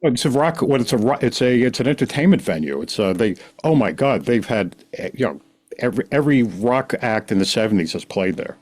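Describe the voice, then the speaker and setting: A man's voice: mid-range voice, lively intonation, fairly quick, a little mellow. A man, a podcast guest telling his story in rambling, self-interrupting casual talk. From New York City, the Bronx. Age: 60s. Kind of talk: casual conversation.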